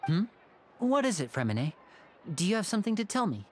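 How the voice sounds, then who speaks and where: Poised, lively young magician. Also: female voice, mid-range voice, a little fast. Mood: affable. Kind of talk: anime video game voice acting. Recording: music behind the voice.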